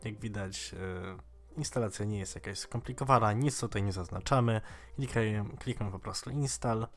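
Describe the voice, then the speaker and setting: A man in his twenties giving a casual, informal software tutorial in a slightly halting way. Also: on the low side. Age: 20s. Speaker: tech tutorial host.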